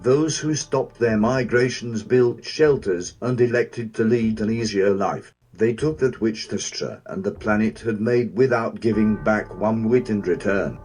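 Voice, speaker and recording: Guy doing a cold bitter villain warrior, husky lowish voice, measured and contemptuous, game fandub. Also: darkish tone, faint music behind, poor audio. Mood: cold contempt. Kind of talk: fan-dubbed video game drama.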